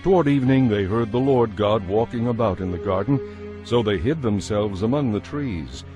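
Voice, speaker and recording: A man's voice: on the low side, expressive, normal speed, over music. A man reading scripted narration for a dramatized audio Bible.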